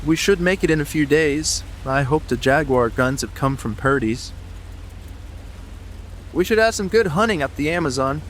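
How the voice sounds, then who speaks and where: An actor playing a reflective big game hunter in a dramatized audiobook, speaking in a measured, philosophical manner. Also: male voice, fairly high pitch, expressive, a little hiss or hum, lightly acted, rough recording. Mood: genial.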